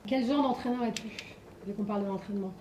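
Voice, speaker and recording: Quick woman asking short questions. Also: a little high. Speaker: interviewer. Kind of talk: interview questions. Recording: roomy, distant, faint noise.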